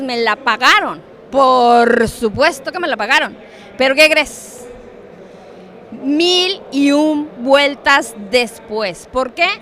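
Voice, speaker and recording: A middle-aged Mexican woman gives a conference talk, her voice high and bright. She speaks in an unhurried way, cracks jokes and keeps putting questions to the audience. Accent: Mexican Spanish. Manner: animated, joking, asks audience questions. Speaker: conference speaker on international trade. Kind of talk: lively conference talk with props and asides. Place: conference hall.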